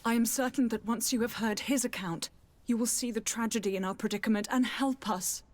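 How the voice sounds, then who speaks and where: Proud, high-voiced noblewoman mother played by an actress. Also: fairly quick, close to an everyday voice. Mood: alarmed, pleading. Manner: formal, courteous, defensive. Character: proud, anxious middle-aged noblewoman mother, pleading. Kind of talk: video game dialogue acting.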